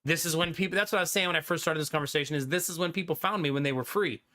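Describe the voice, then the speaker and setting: A young adult man streaming live, chatting casually with his viewers, animated and talkative, often laughing. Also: fairly high pitch, very fast.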